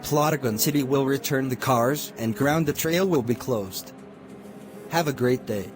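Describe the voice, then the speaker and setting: A man's voice: medium pitch, unhurried, over loud music, natural voice. A synthetic male voice playing a formal news announcer reading an emergency bulletin in a cartoon.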